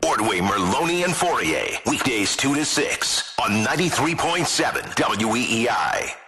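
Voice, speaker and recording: A man's voice: mid-range voice, very animated, normal speed, pushed and bright, faint music behind. A man voicing punchy radio station promos as an announcer.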